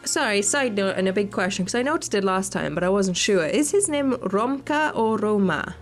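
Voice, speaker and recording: A woman's voice: mid-range voice, expressive, moderate pace. A woman hosting a visual novel playthrough, narrating the text and adding casual commentary. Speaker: gaming video host. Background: game music.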